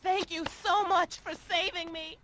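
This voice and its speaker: A woman's voice: very high-pitched, fairly even intonation, a little slow, very noisy. A woman voicing a polite, grateful rescued civilian in a video game. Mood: relieved, gushing.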